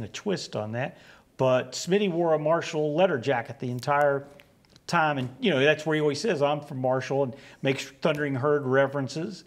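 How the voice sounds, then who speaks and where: Guest, man in his 60s, West Virginian accent, gravelly, easygoing storyteller, lapel mic. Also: medium pitch. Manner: rambling, anecdotal, acts out quoted exchanges. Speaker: guest, comic book writer. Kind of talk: relaxed storytelling interview. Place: small studio set.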